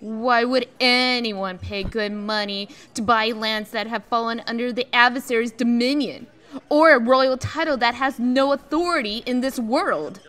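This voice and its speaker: A woman's voice: a little high, unhurried, a bit bright. A woman playing an icy, venomous executive and scornful ex-wife, sarcastic and withering, in a comic dub.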